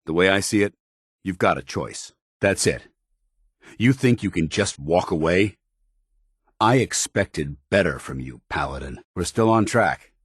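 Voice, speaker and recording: An actor playing a stern, loyal, zealous soldier in a video game, speaking gruffly with earnest, clipped military formality. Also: male voice, low voice, expressive, unhurried, no echo, studio quality, full character voice. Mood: firm, then approving, formal. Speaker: companion soldier character.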